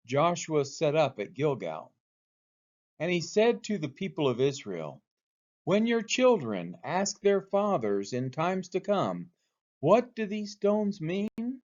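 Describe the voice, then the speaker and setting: A man reading the Bible aloud from a script as a church read-along, in measured, deliberate phrases, on a dull recording. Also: medium pitch, a little mellow.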